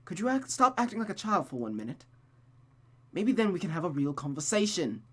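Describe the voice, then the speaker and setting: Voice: medium pitch, big pitch swings, brisk, fairly bright tone, husky, pitched above the performer's own voice. A performer voicing an exasperated, weary man arguing in a visual novel. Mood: irritated.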